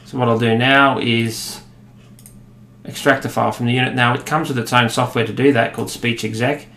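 A man in his thirties with an Australian accent, explaining a software demo in a relaxed way, with many fillers. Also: mid-range voice, a little fast. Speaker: product demonstrator. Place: office room, indoors. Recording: echoey room with faint ambience.

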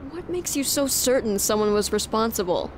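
A woman's voice: a little high, very animated, normal speed, slight background noise. A woman playing a seasoned, no-nonsense female police officer with a dry, wry manner in an audio drama. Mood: questioning.

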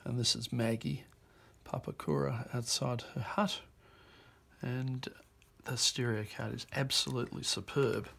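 A New man talks slowly through a stack of old postcards, reading each caption aloud in short, unhurried bursts. His voice has a gravelly, creaky edge. A faint hiss sits under the desk recording. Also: medium pitch, fairly bright tone. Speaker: auction presenter. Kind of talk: reading out postcards while browsing. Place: indoor office desk.

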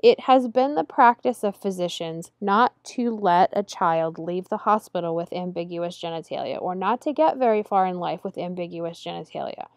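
A woman lecturing over slides in a measured, explanatory way, slowing down to stress key terms. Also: on the low side, no echo, studio quality. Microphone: close voice-over mic over slides.

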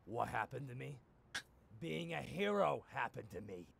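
An actor playing a worn-out, bitter, foul-mouthed older superhero in a gruff, jaded way for an animated parody dub. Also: male voice, mid-range voice, moderate pace, heavy background noise. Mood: bitter ranting. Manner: jaded, gruff, theatrical outbursts. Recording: music behind the voice.

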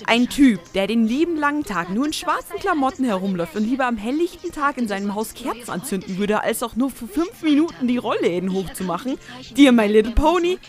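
A woman voicing a young, sassy, whiny pony princess with a theatrical, teasing manner in a fan audio drama. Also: on the high side. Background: background music.

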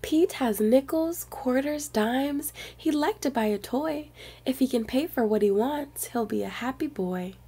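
A woman reading a picture book aloud to young children in an expressive storyteller's manner. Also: high voice, measured pace, breathy edge.

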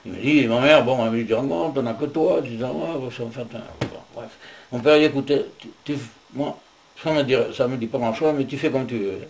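Older man, plain mid voice, animated story, faint hiss. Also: mid-range voice, a little fast, poor audio. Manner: animated storytelling, quoting his parents. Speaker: veteran interviewee.

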